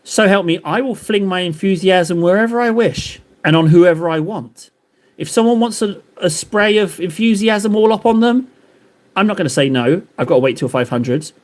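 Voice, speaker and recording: A man's voice: high-pitched, moderate pace. A man live-streaming while he paints, rambling and joking as he banters with his chat.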